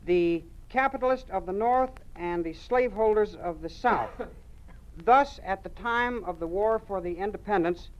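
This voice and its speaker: A performer playing a nervous young male pupil reciting haltingly in an old-time radio drama. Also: medium pitch, close to an everyday voice. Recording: muffled vintage radio recording.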